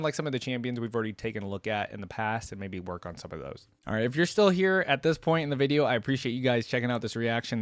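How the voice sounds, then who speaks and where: A man's voice: mid-range voice, smooth, dry recording. A man chatting casually and informally to his viewers as a reaction video host, rambling conversationally as he goes. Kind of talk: casual unscripted chat to viewers.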